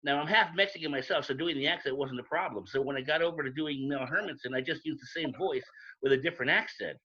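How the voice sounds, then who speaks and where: A man's voice: a little high, fast, pushed and bright, husky. A man, a voice actor, chatting on a panel in an articulate, explanatory manner. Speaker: volunteer audio drama voice actor. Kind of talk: casual panel chat. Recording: muffled lo-fi livestream call.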